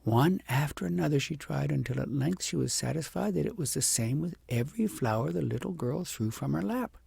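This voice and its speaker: Gravelly man reading a storybook. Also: fairly high pitch, expressive, a little fast, darkish tone, dry recording. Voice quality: gravelly, husky. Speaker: audiobook narrator. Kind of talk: expressive scripted storybook narration.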